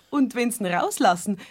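Lively, chatty Bavarian neighbour woman. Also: a little high, takes it slowly. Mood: chatty, excited. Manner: chatty, insistent. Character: chatty, persistent neighbour woman, good-natured and nosy. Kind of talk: children's radio play acting.

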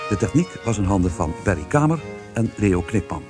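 Male continuity announcer, scripted credit read, slightly deep voice, clear music bed. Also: very animated, a little fast. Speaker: radio announcer reading credits. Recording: radio announcement over string music.

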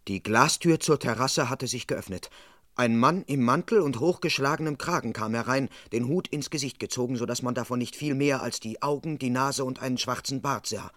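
An actor playing an indignant, self-important police constable in an old German radio crime play, earnest and dryly comic. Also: male voice, medium pitch, moderate pace, fairly bright tone, no room sound, clean studio sound, close to an everyday voice. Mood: dramatic narrating.

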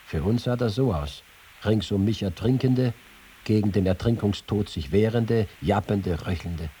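A man's voice: on the low side, a little slow, warm tone, slight background noise, close to an everyday voice. An actor playing a reporter who reads his war notes and letters in a dramatic literary style. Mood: grave, observant.

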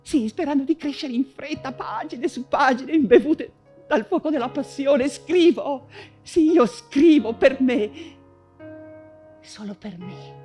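An actress playing an imprisoned, nostalgic Russian exile writer in an intense, halting theatrical monologue, repeating words for emphasis. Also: female voice, a little high, mellow. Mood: passionate, restless, then bitter, defiant. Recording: music under the voice. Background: music.

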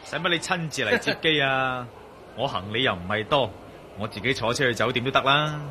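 An actor with a Hong Kong Cantonese accent playing a curious, teasing, easygoing adventurer in a radio drama. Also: male voice, mid-range voice, a little fast, bright, forceful tone, a little hiss or hum, lightly acted. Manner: teasing, inquisitive. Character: curious, easygoing male adventurer, wry traveler.

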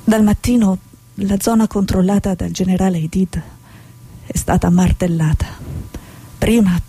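Woman acting a husky, earnest war reporter. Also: mid-range voice, fairly even intonation, measured pace, dark tone. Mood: grave reporting. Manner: earnest, insistent, questioning. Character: stubborn, outspoken Italian woman war correspondent, reporting and arguing. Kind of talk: stage drama acting with dramatic reportage monologue.

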